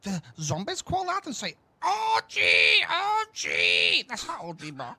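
A high, bright voice jumps wildly in pitch while boasting goofily. The sound is close and dry. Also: male voice, wildly swooping pitch, a little slow, husky, dry recording. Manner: boastful, goofy bragging. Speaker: game player in a clip. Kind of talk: joking in-game banter.

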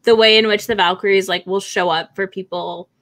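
A woman's voice: medium pitch, steady tone, brisk, fairly bright tone. A young adult woman in casual, informal book chat, speaking as a panelist on a video call. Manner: informal, profane. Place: indoor home room on a video call.

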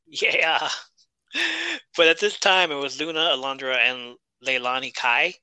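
A man talks wrestling history on a podcast in a bright, clear voice, recorded dry and close. He is chatty and jokes as he runs through his notes. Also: mid-range voice, steady tone, measured pace, dry recording, low-quality recording. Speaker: podcast co-host. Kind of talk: casual wrestling review chat.

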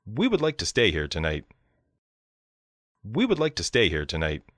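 A man reading short example sentences carefully and clearly for a listening lesson, in a dry studio voice-over. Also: lowish voice, wildly swooping pitch, moderate pace, a bit bright, slight background noise. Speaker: listening practice speaker. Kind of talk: slow read example sentences.

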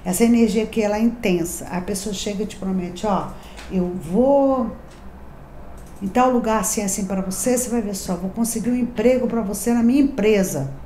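A woman's voice: mid-range voice, moderate pace, warm tone. Brazilian woman in her 30s, tarot host, chatty and confiding; roomy echo, light hiss. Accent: Rio de Janeiro Portuguese. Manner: chatty, affectionate, confiding, emphatic repetitions. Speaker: tarot reader and channel host. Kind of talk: daily tarot reading to camera. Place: decorated home room, indoors. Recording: echoey room, faint background hiss.

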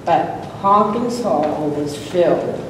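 A woman's voice: lowish voice, animated, slow pace. A woman in her eighties from Long Island, telling personal memories to an audience. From Long Island, New York. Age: 80s. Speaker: college alumna, audience speaker. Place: lecture room with seated audience. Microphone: distant camera microphone. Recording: echoey room, distant mic, clear noise.

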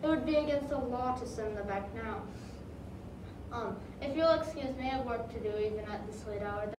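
A stern loyalist governor , played by a woman speaks in a formal, indignant way. The voice sits fairly high and is heard from across an echoey room. A steady noise runs under the voice. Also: moderate pace, far from the mic, lightly acted.

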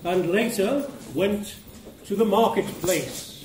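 A woman's voice: mid-range voice, takes it slowly, a little mellow, a little hiss or hum, natural voice. A woman narrating an amateur pantomime in a declamatory storytelling manner. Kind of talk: scripted narration. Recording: distant echoey hall with music.

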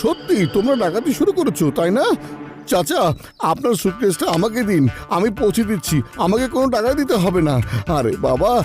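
A naive, good-hearted cartoon man, speaks in a very high, eager voice. He is earnest and exclamatory, over clearly audible music. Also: big pitch swings, a little fast, darkish tone. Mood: indignant, helpful. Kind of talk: exaggerated cartoon dub acting.

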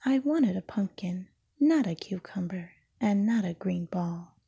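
Gentle sad little bear, a lady whispering him, slow, breathy, dark soft tone. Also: medium pitch, lively intonation, heavily acted. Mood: wistful. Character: gentle, simple male teddy bear, wistful.

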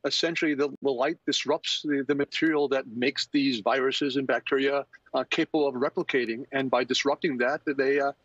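A man's voice: medium pitch, steady tone, brisk, a bit bright, slightly rough, no room sound. A man in his fifties, a company founder, explaining his technology in a remote news interview, hesitant with many fillers and repeated words. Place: office with plants. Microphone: video call. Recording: dull lo-fi video call audio.

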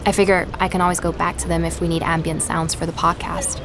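An actress playing an earnest, determined young woman investigator in an audio drama, speaking in a measured, reflective way. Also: lowish voice, little pitch movement, noisy background, natural voice. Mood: reflective narration. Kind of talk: audio drama, conversation and first-person narration.

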